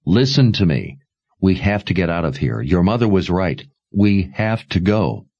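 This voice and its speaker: Male reader as a steady young man urging escape, husky and low. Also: measured pace, lo-fi sound, heavily acted. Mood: urgent. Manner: firm, pressing, earnest.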